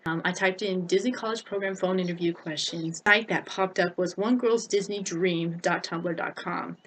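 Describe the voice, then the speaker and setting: Young american girl doing a casual vlog, kinda low voice, chatty n emphatic, bit of noise behind her. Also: a bit bright. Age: young adult. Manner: chatty, informal, emphatic repetition. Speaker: vlogger. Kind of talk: casual vlog advice to camera. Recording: noticeable background noise.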